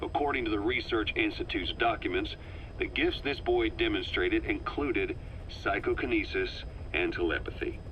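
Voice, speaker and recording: An actor playing a wary, brooding veteran intelligence operative, giving briefings in a measured, grave manner for a game. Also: male voice, low-pitched, muffled recording, a little hiss or hum, close to an everyday voice. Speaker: briefing officer. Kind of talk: dramatic game briefing dialogue.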